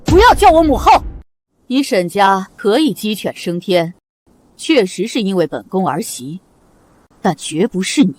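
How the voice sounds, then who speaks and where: A woman's voice: mid-range voice, big pitch swings, a little slow, a little hiss or hum. A woman voicing an imperious queen mother of the gods in a costume drama, commanding and scornful. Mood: furious. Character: imperious divine queen mother, haughty and wrathful. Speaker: divine empress mother.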